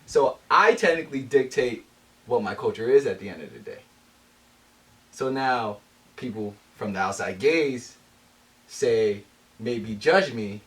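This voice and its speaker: A man with a mid-pitched voice talks through his views in a relaxed group discussion, often posing questions to make his case. The mic sits some distance from him. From Jamaican heritage. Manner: thoughtful, builds arguments with questions. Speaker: podcast co-host.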